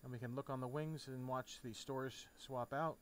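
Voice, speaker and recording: A man's voice: mid-range voice, normal speed. Man giving a casual tutorial, noisy recording. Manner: informal, instructional. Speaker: tutorial presenter. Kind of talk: casual step-by-step tutorial narration over a flight simulator. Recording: clear background noise. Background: steady noise, game sound off.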